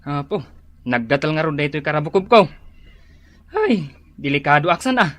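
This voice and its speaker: An actor with a Filipino Ilocano accent playing a fearful, fretful husband in a radio drama, anxious and melodramatic. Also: male voice, a little high, lively intonation, moderate pace, a bit bright, dry recording. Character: fretful, fearful husband fussing over his sore throat.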